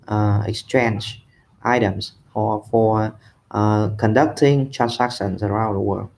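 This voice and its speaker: A man's voice: medium pitch, a little mellow, slight background noise. A man from Vietnam with a Vietnamese accent, presenting a prepared opinion as a community discussion participant.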